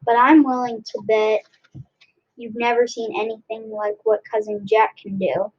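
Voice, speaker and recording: A woman reading a children's book aloud expressively, in a deliberate way with dramatic pauses. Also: a little high, steady tone, muffled recording. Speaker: read-aloud narrator.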